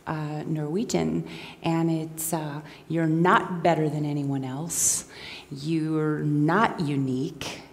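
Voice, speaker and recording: Middle-aged woman from Minnesota, guest speaker, lowish voice, very slow and chatty with ums, long hall reverb. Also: darkish tone. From Minnesota, USA. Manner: unhurried and conversational, with many ums and restarts. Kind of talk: informal talk to a gathering.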